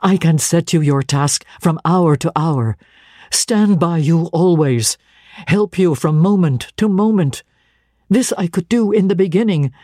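Woman voicing a deep, relentless zealous clergyman. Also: mellow, no echo, studio quality. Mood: insistent. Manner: solemn, deliberate, commanding. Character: stern, zealous young clergyman, relentless and cold.

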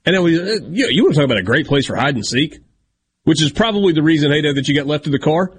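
A man's voice: on the high side, lively intonation, fast, a little hiss or hum. A man hosting a sports radio show, chatty and self-deprecating, with a big voice. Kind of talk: casual sports radio talk.

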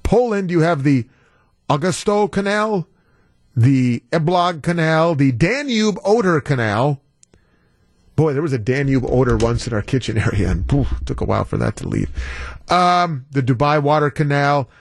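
A man with an American accent bantering as a radio host. His voice sits a bit high and moves around a lot in pitch, with a close, dry studio sound. He is playful and wry in delivery. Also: animated, moderate pace, vocal fry, dry recording.

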